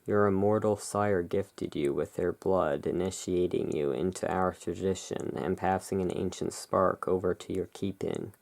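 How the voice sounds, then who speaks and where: Very slow, low, creaky ASMR host. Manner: hushed, unhurried, musing. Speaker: host, playing curiosity emporium owner. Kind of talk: soft-spoken ASMR roleplay, reading and describing tarot cards.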